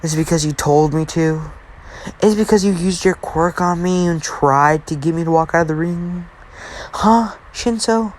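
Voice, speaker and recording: A man's voice: a little high, a little hiss or hum, close to an everyday voice. A man voicing a smug, condescending teenage hero student in an anime-style story, mocking his rival. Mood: menacing taunt. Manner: mocking, condescending, drawn-out taunts. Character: smug, taunting teenage superhero student with evil smile.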